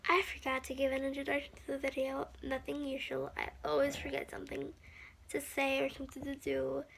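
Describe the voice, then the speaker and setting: A young woman with a high voice and a bit of gravelly fry, slightly hoarse from a recent cold. She talks upbeat and chatty, explaining her makeup steps straight to a close camera in a small room at home. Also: completely flat delivery, a bit bright, a little hiss or hum. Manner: chatty and upbeat, quick asides. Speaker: beauty vlogger. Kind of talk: makeup tutorial narration.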